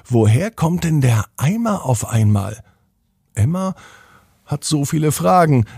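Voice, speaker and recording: A man's voice: medium pitch, gravelly, mellow, husky, no room sound, studio quality. A man hosting a children's podcast, narrating a bedtime story expressively and speaking directly to children.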